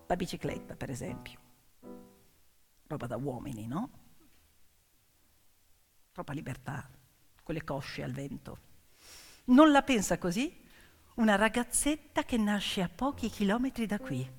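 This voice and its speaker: A woman delivering a theatrical narrative monologue, dramatic and emphatic, swinging between hushed and loud delivery. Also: lowish voice, sing-song, unhurried, long pauses, husky. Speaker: stage actress and storyteller. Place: theatre or hall stage. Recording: long hall reverb, music behind. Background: piano music.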